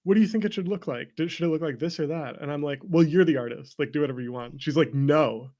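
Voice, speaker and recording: A man's voice: a little high, fast, darkish tone. A man chatting casually as an interview guest, joking and self-deprecating, often breaking into laughter. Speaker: interview guest, game creator. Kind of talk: casual candid chat.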